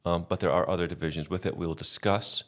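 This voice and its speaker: A middle-aged man from the American Midwest talks in a very deep voice. He wanders through his stories and often makes fun of himself. The talk is loose and chatty, like a podcast conversation. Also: steady tone, fast talker. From Midwestern United States. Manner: rambling, self-deprecating storyteller. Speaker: podcast guest. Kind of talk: casual chat.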